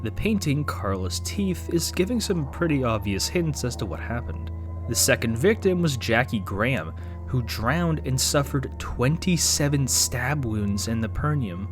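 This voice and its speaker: A man's voice: medium pitch, expressive, unhurried, music in the background. Wry young man narrating a video essay. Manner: wry, sarcastic asides. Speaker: YouTube commentator.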